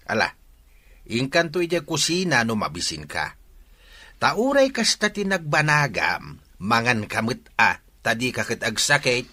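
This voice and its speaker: A man's voice: medium pitch, sing-song, measured pace, pushed and bright, full character voice. An actor playing a concerned, practical middle-aged father in a radio drama, speaking insistently. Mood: resigned. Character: concerned, practical father of a grown pregnant daughter.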